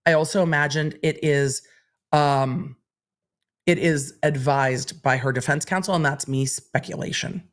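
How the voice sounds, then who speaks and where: A woman's voice: sits low, unhurried. A woman hosting a livestream, chatting candidly and reading out viewer questions. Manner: chatty and candid, answering questions in an even, explaining way. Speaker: legal commentary livestream host.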